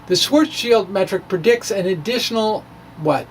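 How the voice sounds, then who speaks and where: Middle-aged science blogger, mid-pitched voice, reading aloud informally with emphatic, halting phrasing and asides; noisy ambient recording. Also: animated. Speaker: amateur physicist and blogger. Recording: background noise, ambient sound.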